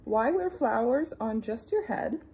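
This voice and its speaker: Expressive young woman reading slowly to children. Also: a little high, a little hiss or hum. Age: young adult. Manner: expressive, deliberate, with playful asides to young listeners. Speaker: teacher reading a children's picture book. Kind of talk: storybook read-aloud for young children. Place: small room indoors.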